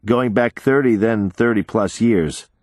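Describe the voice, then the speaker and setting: Male narrator as a determined man, terse, muttering, low voice, dry close sound. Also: measured pace, a little mellow, no room sound, natural voice. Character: determined grown man searching files.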